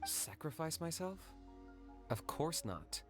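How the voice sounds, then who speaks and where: A man's voice: on the high side, very animated, slow pace. An actor playing a stoic, pragmatic young man in a video game, speaking in an understated, matter-of-fact way. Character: cool, stoic young male hunter, pragmatic and laconic. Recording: faint music behind the voice.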